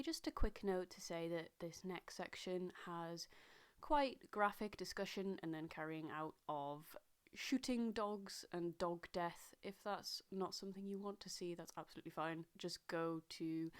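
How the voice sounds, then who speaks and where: A woman video host giving a casual, plain and reassuring notice to viewers. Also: lowish voice.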